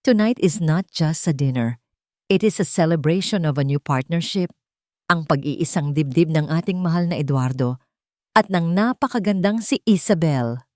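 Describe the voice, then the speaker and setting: A woman's voice: low voice, expressive, moderate pace, darkish tone, no echo, clean studio sound. A woman with a Filipino accent voicing a smug, showy male villain in an audio story. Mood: showy cheer.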